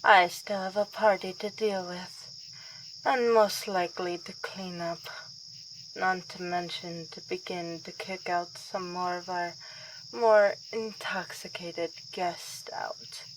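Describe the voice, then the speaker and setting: A woman's voice: fairly low pitch, fairly even intonation, slow, a little hiss or hum, rough recording. A woman playing a refined, wry vampire princess in an ASMR roleplay, with dry and politely formal delivery. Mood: playful, gracious.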